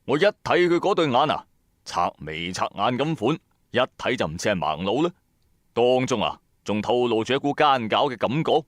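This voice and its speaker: A man with a Hong Kong Cantonese accent telling a first-person story in an expressive, colloquial way, with chatty asides to the listener. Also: mid-range voice, moderate pace, no room sound, clean studio sound. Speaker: storyteller, narrator. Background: background music.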